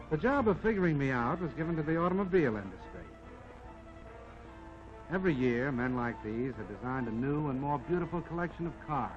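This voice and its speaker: A man's voice: medium pitch, lightly acted. A man voicing a modest, plucky army jeep that tells its own life story in a folksy, wry 1940s film narration. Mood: wry, reminiscing. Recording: dull vintage 1940s film soundtrack, music behind.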